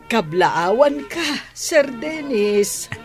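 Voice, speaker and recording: Slow, hesitant Filipino housemaid. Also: female voice, fairly high pitch, very animated, faint music behind, heavily acted. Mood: congratulating. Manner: hesitant, drawn-out, respectful. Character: deferential, gentle female household helper. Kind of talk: Ilocano radio drama acting.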